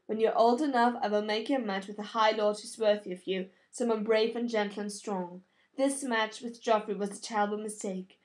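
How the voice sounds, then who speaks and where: Weary noble father, read by a woman, stern yet gentle, grave, measured, fantasy audiobook. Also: mid-range voice, steady tone, close to an everyday voice. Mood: gentle, firm.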